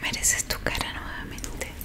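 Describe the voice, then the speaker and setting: A young woman with a Rioplatense Spanish accent doing an ASMR roleplay in a soft, breathy whisper close to the microphone, slowly repeating words to soothe. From Argentina. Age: young adult. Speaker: ASMR roleplay creator playing a technician. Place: small dim curtained room indoors. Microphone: close microphone in front of her.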